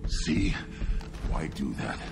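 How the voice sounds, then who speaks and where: Casting: young reptilian warrior for a game, restless and brutish, bottomless pitch, slow delivery, music underneath. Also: male voice, wildly swooping pitch, heavily acted. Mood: agitated, angry. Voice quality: very deep, gravelly growl. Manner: halting, blunt, forceful short bursts. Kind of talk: video game dialogue acting.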